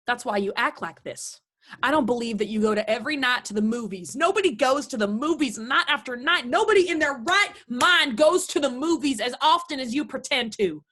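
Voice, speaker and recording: A woman's voice: high-pitched, lively intonation, fairly quick, a bit bright. A woman playing a domineering, prim middle-aged Southern mother in a stage play reading, theatrical and chattering. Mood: scolding, outraged. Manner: theatrical, indignant, gushing.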